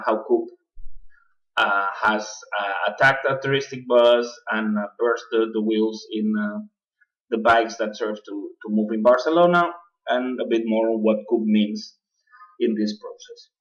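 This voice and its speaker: A man's voice: fairly low pitch, a bit bright. Guy doing his radio talk show, lots of uh and restarts, kinda far from the mic, dull lo-fi sound. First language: not English. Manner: halting, many uh fillers and restarts. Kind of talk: political commentary monologue.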